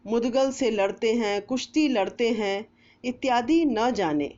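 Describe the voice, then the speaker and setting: Woman narrating a moral piece, slow n deliberate w/ pauses, kinda muffled lo-fi recording. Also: fairly high pitch, animated, a little mellow. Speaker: storyteller narrator. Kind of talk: narrated moral essay read aloud.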